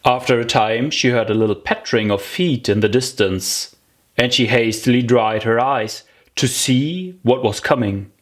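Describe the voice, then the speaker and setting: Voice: medium pitch, normal speed. An audiobook narrator reading a scripted children's story.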